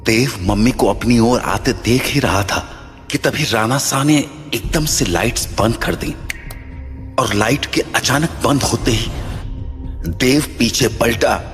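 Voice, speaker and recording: Male narrator, scripted horror storytelling: lowish pitch, long echoing tail, light music bed. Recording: long reverb, music underneath.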